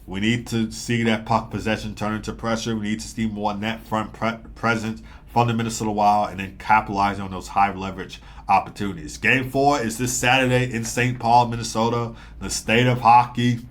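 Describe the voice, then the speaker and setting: A man's voice: fairly low pitch, little pitch movement, a little fast, hardly any pauses. A man hosting a live hockey podcast, talking through the game in a rambling casual way with frequent fillers and repeats, a bit sniffly from a stuffy nose. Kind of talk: casual live sports analysis.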